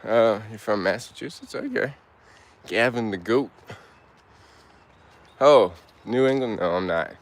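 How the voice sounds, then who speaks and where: A young man from Turkey casually chatting with his live-stream audience while walking in the woods, relaxed and laid-back, answering comments aloud with halting restarts. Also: lowish voice. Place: outdoors in woods.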